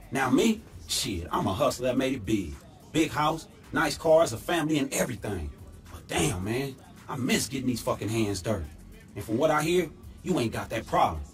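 A man's voice: medium pitch, natural voice. A man playing a confident, streetwise ex-hustler turned businessman in a video game, with slangy, swaggering speech. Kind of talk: video game cutscene acting.

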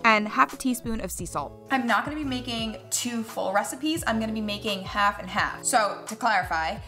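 A woman's voice: fairly high pitch, moderate pace, bright, forceful tone. An American woman in her twenties hosting a cooking vlog in a chatty, playful, sarcastic and self-deprecating way. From United States. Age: 20s. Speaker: cooking channel host. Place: home kitchen, indoors. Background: background music.

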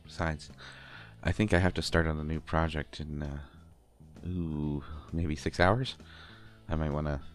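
A man playing an affectionate, bashful partner in an intimate roleplay audio, talking haltingly with stammers and gentle teasing. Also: very deep voice, fairly even intonation, faint music behind. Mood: tender, halting. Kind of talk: intimate roleplay audio acting, one side of a conversation.

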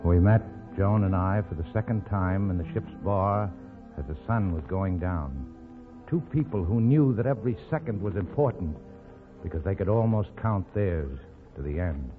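A man's voice: sits low, animated, normal speed. An actor playing a doomed, tender, melancholy leading man in a 1940s radio romance. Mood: wistful. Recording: old phone-like radio recording, faint music.

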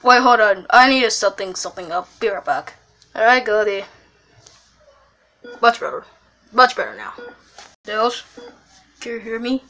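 A woman playing a young, anxious cousin in an amateur skit, soothing and pleading in halting, repeated phrases. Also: medium pitch, expressive, bright, forceful tone. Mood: concerned. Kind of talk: amateur improvised character skit. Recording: music behind the voice.

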